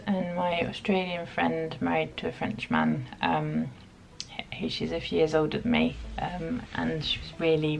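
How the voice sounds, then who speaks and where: Woman, 30s, from the UK, vlogger; low, gravelly, thoughtful and halting. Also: poor audio. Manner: reflective, soft-spoken, many pauses and ums. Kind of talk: casual chat to camera.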